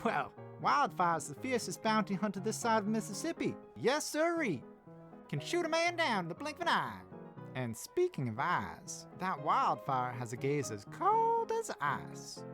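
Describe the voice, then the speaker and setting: A woman's voice: medium pitch, wildly swooping pitch, measured pace, over music. A woman playing a chatty, folksy saloon keeper in a Western game, telling things theatrically. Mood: awed, teasing. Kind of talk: visual novel character acting.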